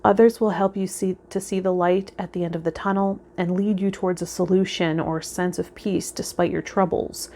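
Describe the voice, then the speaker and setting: Woman, slightly low voice, gentle reflective manner, tarot reader talking directly to viewers, faint background noise. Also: fairly even intonation, normal speed, few pauses. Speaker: tarot reader and vlog host. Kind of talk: casual spiritual guidance talk to viewers, partly read out.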